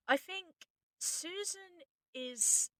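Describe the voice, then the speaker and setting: A woman's voice: extremely high pitch, lively intonation, extremely slow, bright, forceful tone, no room sound. A woman writer and co-host explaining things informally in a casual discussion, often breaking off mid-thought. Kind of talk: casual behind-the-scenes discussion.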